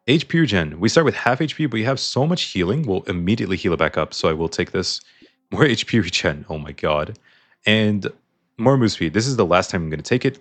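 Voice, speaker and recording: A man casually commenting on a video game as he plays, explaining his choices, his voice a little strained. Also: fairly low pitch. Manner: chatty, explains choices while playing. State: slightly strained voice. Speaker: gaming YouTuber.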